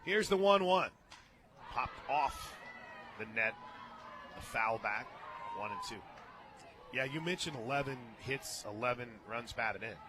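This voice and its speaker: An American man doing live radio play-by-play of softball, conversational, with wry asides between calls. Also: medium pitch, expressive, measured pace, long pauses. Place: radio booth at a ballpark. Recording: noisy outdoor ballpark broadcast.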